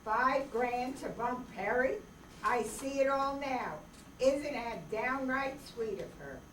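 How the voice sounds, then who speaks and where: A woman's voice: on the high side, slow pace, a bit bright. A woman playing a polite, lovesick gunman with folksy phrasing in an old-time radio drama. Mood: earnest, smitten. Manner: drawling folksy grammar, courteous while threatening. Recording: roomy, noisy, distant microphone stage recording.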